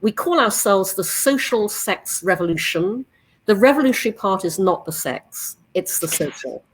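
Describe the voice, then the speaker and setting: A woman in her sixties, originally from England, introducing her company on a video-call panel in a measured, emphatic way. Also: medium pitch, expressive, slightly rough, rough recording. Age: 60s. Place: apartment living area.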